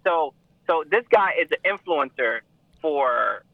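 A man's voice: lively intonation, slow, gravelly, no echo. A man co-hosting a casual podcast, rambling and restarting as he makes his points. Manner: rambling, restarts with emphatic pitch jumps. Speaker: podcast co-host. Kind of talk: casual chat. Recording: tinny phone-like sound.

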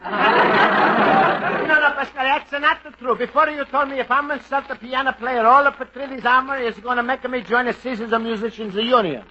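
A man's voice: extremely high pitch, steady tone, fairly quick. An actor playing a homesick, earnest Italian immigrant man in a radio comedy, speaking broken English with an Italian accent and frequent exclamations. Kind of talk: 1940s radio acting. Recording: dull old radio broadcast with faint hiss.

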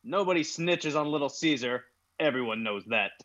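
A man's voice: medium pitch, expressive, normal speed, fairly bright tone, dull audio, lightly acted. A man playing a cocky, menacing 1930s movie gangster boss who makes wisecracking threats. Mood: menacing, smug.

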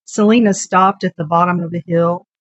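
A woman's voice: fairly low pitch, steady tone, moderate pace, no room sound. A woman writer reading her own literary short story as a narrator. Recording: dull, dry recording with music bed.

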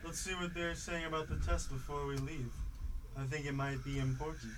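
A man voicing calm wise Veggie Bear. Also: fairly high pitch, distant mic, noisy background, natural voice. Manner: calm, measured, reassuring. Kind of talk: amateur radio drama acting.

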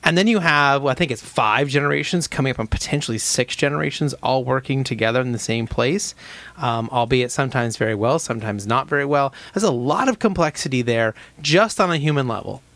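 A man from the United States hosts the interview in a dry, close-sounding recording. His voice is mid-pitched, and he asks long, rambling questions while thinking aloud. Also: brisk, a bit bright, no echo. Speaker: podcast host. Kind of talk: conversational interview.

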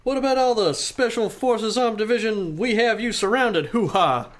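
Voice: mid-range voice, normal speed, close to an everyday voice. A performer playing a cocky, dim, slangy boy band member in a comic radio play. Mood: brash. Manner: slangy, brash wisecracks.

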